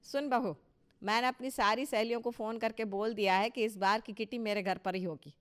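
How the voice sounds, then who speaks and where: A woman's voice: a little high, steady tone, brisk, natural voice. A woman playing a proud, touchy older mother-in-law in a Hindi cartoon story, emphatic and boastful with a scolding edge. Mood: proud, bossy.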